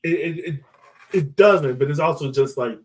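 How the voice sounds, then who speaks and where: A man's voice: on the high side, normal speed, darkish tone, far from the mic. A man co-hosting a sports podcast, casually breaking down a soccer match and repeating himself as he thinks aloud.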